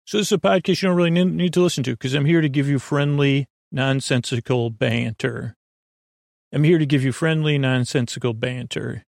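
A gravelly man with plenty of vocal fry hosts a sleep podcast in a dry, close sound. He meanders and stammers, doubling back on his words. His delivery is self-deprecating and built for bedtime listening. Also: mid-range voice, dry recording. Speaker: podcast host. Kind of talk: rambling bedtime podcast monologue.

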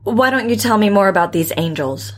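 A woman playing a composed psychotherapist in an audio drama, speaking in a measured, careful, questioning way. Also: medium pitch, expressive, lightly acted. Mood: probing, composed. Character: measured, probing female psychotherapist, professional and composed. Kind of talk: naturalistic audio drama acting.

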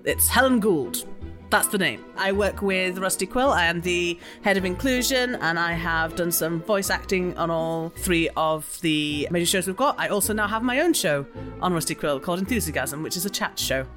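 A woman's voice: fairly low pitch, lively intonation, moderate pace, over music. A woman introducing herself casually as a player at a tabletop game. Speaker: player, voice actor and show host.

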